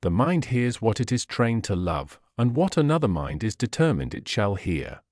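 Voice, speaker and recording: A coolly analytical gentleman detective of Victorian times, read by a male narrator. He is precise, erudite and dry, in a low voice with lively movement, as heard in an audiobook. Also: normal speed, no room sound. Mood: cool, probing. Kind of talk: audiobook character voice.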